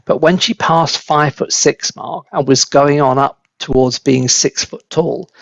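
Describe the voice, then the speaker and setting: A man reading a children's story aloud as a dramatic, deliberate, expressive narrator. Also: on the high side, gravelly, no echo, dull audio. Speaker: storybook reader.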